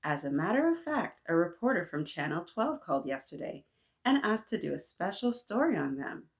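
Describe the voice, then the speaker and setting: A woman voicing a kindly, proud neighbor lady in a picture book, measured and courteous in a motherly way. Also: fairly low pitch, big pitch swings. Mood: proud, friendly.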